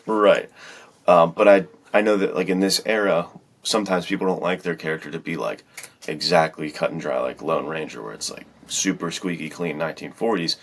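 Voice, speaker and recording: A man's voice: low-pitched, a little fast, creaky, a little hiss or hum. A man co-hosting a podcast as an author, chatting in an informal, enthusiastic way. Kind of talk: casual chat.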